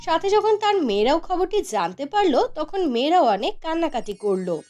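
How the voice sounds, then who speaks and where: A woman's voice: high voice, big pitch swings, moderate pace, mellow. A woman narrating a scripted fairy tale for a cartoon, as a storyteller. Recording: music behind the voice. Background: background music.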